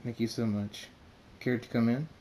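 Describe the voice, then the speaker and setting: A man voicing a calm, mysterious male pegasus demon who speaks politely and softly. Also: mid-range voice, normal speed, slight background noise, lightly acted, low-quality recording. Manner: calm, polite, soft-spoken.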